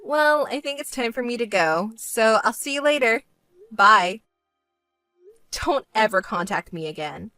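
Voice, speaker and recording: A woman's voice: a little high, expressive, measured pace, bright, forceful tone, clean tone, no echo, soft background music, heavily acted. A woman voicing a stern, fed-up sister with a grudge in a dramatized story, cold, firm and scolding. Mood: cold dismissal.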